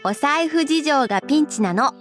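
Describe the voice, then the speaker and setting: Childish anime teacher, playful whine, sky-high pitch, exaggerated, quick, music behind. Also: female voice, lively intonation. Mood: playful, whiny. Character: childish, hungry, cheerful female teacher and big-sister figure. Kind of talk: Japanese visual novel voice acting.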